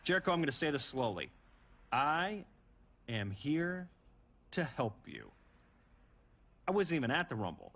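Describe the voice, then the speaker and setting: A man's voice: a little high, huge pitch swings, a little slow, pauses often, a bit bright, heavily acted. A man playing a condescending, irritable wrestling boss in a video game cutscene. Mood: irritated.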